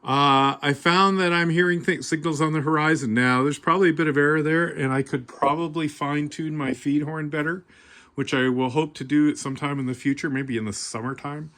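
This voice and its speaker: A man informally showing his amateur radio gear, thinking aloud in a halting way as he explains it. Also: on the high side, steady tone, fairly bright tone. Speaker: amateur radio hobbyist presenting his equipment. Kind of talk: informal show-and-tell demonstration.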